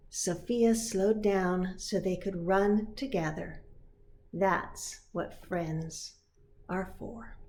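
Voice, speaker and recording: Slow, expressive woman storyteller. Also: medium pitch, a little hiss or hum. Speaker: pastor reading a children's book.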